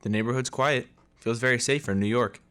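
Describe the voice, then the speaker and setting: Man acting a quick-talking practical husband. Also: medium pitch, a bit bright, clear, resonant tone, close to an everyday voice. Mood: casual, wary. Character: wary but devoted husband house-hunting. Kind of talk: audio drama acting.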